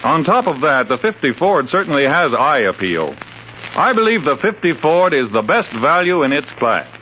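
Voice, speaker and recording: A man's voice: fairly high pitch, sing-song, moderate pace, sharp tone. A man reading a scripted 1950s radio testimonial as a customer. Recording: dull vintage radio recording, faint noise.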